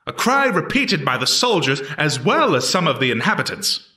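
A man playing an earnest eighteenth-century prosecutor in a historical reenactment, addressing a jury in deliberate, declamatory, formal courtroom oratory. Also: on the high side, very animated, bright, forceful tone. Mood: measured narrative. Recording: echoey room, distant microphone.

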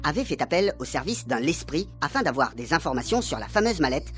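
Brisk radio serial narrator, male. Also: fairly high pitch, sing-song.

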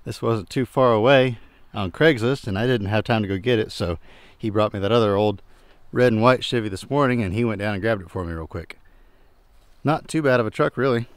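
Laid-back junkyard guy, lower voice. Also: fairly quick, no room sound. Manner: relaxed, plain-spoken, trails off quietly at the ends of remarks. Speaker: junkyard owner and channel host. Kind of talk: casual vlog walkthrough. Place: outdoor junkyard and inside a pickup truck.

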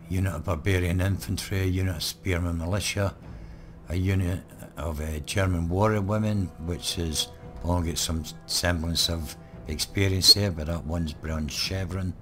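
A man talks through his strategy game with a very deep, hoarse, croaky voice. He rambles easily, with lots of ums and uhs. Faint music plays underneath. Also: unhurried. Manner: relaxed, rambling, many ums. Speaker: gaming channel host. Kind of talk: live let's play commentary.

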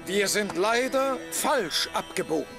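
An actor playing a gentle, wise, cheerful elderly leader with a courteous manner in a German cartoon dub. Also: male voice, high-pitched, expressive, unhurried, gravelly, heavily acted. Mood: calm, wry. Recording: loud music behind the voice.

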